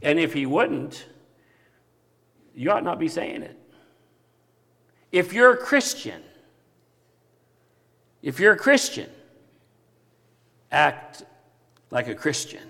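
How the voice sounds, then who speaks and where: A man preaching a sermon with a big voice that grows animated at times. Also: fairly high pitch, slow pace, gravelly, pauses often, in a large hall. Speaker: pastor.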